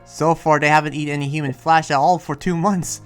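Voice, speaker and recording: A man playing a friendly, earnest townsman in an improvised anime game roleplay, explaining himself with hesitant, rambling pauses, with music behind him. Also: fairly high pitch, fairly even intonation, fairly bright tone, smooth, lightly acted. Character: friendly, earnest demon-sheltering townsman who senses lies.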